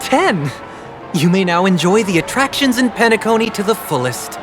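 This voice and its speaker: A male ride attendant in a video game. He is courteous and formal, with a lively, varied intonation. Music plays clearly behind him. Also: sits high, normal speed. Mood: polite, businesslike. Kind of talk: video game character voice acting.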